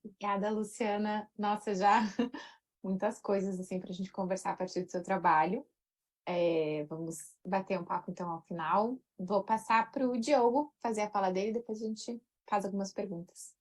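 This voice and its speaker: A woman moderating a live webinar over a video call, thanking the speakers and handing off in a relaxed, chatty way. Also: mid-range voice, normal speed. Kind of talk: casual moderating.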